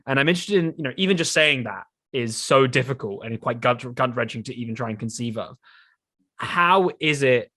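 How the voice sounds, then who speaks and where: A young man hosts a podcast and talks quickly, tumbling through his questions with filler words. His voice sits at a middling pitch. The sound is dry and close, with no room around it. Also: a bit bright, dry recording. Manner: chatty, quick, encouraging with many fillers. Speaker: podcast host. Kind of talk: casual podcast conversation.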